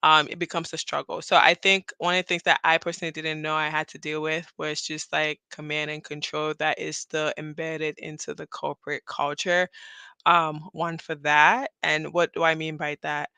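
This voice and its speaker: A woman's voice: sits low, flat delivery, normal speed, pushed and bright, clean tone, no echo. A woman from Cameroon hosting a live audio chat interview, chatty and rambling, laughing often. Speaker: host.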